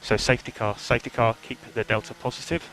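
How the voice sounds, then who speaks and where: A man's voice: mid-range voice, fairly even intonation, fast, fairly bright tone. A male race engineer giving brief updates and instructions to a driver over team radio. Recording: very noisy team radio link.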